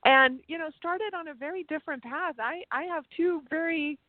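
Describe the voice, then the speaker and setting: A German-born woman whose first language is German, speaking English without an accent as an interview guest, telling animated stories and restarting her sentences often. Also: high-pitched, normal speed, no echo. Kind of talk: casual interview chat. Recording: tinny phone-like line.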